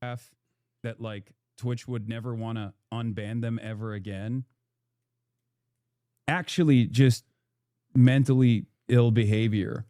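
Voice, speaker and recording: A man giving casual commentary in measured, slightly hesitant phrases. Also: mid-range voice, fairly even intonation, mellow, no echo, studio quality.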